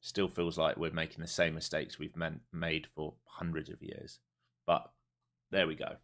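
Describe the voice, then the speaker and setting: Deep-voiced man in his forties. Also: monotone, normal speed, a bit bright. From Europe. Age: 40s. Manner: casual, chatty, blunt, swears freely. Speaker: livestream day trader. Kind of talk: live market commentary and chat.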